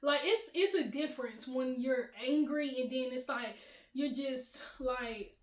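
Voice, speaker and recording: A woman hosting her channel, telling a storytime in casual chat with a high-pitched voice, animated and laughing often. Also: a little slow, reverberant, a little hiss or hum. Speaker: vlogger, channel host.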